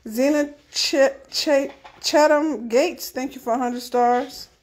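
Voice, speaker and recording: A woman co-hosting a casual live cooking stream, reading viewers' names and thanking them in a steady run. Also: high-pitched, takes it slowly. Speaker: co-host reading viewer comments.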